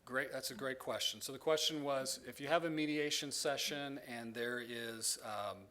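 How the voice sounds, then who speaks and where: A man presenting at a conference and answering audience questions in a measured, explanatory way, with frequent ums and restarts. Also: mid-range voice, bright, forceful tone. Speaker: presenter from a state education agency.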